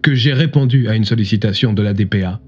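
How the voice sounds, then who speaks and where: A man playing a defensive, straightforward police inspector as a radio guest in an audio drama, measured and slightly husky, heard through a broadcast filter. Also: on the low side, darkish tone, voice pushed down. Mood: defensive, composed. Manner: measured, protesting. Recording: muffled radio sound, music behind.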